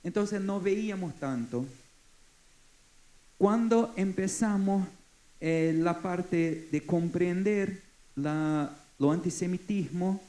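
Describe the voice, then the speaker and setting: A man in his forties from Brazil with a Brazilian Portuguese accent, Portuguese his first language, speaking haltingly as a forum panelist. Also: on the high side, warm tone. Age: 40s. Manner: halting, searching for words. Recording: echoey conference hall.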